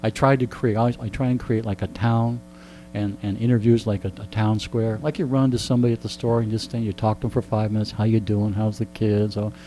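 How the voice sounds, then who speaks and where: A man's voice: fairly low pitch, brisk, rough recording. An older man in his sixties chatting casually as a guest, rambling along with dry, joking asides. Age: older, 60s. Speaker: interview guest, local radio host. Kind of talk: casual chat. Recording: steady electrical mains hum.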